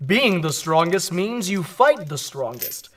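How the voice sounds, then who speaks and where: High-voiced, cranky, boastful superhero. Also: male voice, sing-song, measured pace, a bit bright, recorded from a distance, exaggerated voice. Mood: indignant. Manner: self-important, defensive. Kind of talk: comedy radio play acting.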